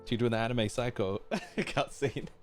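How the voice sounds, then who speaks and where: Slangy male game streamer reacting. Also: medium pitch. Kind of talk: casual reaction commentary. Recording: game music behind voice. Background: game music.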